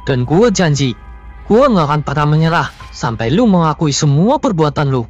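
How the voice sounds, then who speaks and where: A man voicing a bold, vengeful hotel worker with a secret plan in an animated horror comedy, speaking in a dramatic, menacing way. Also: a little high, moderate pace. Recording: dull, lo-fi sound with music.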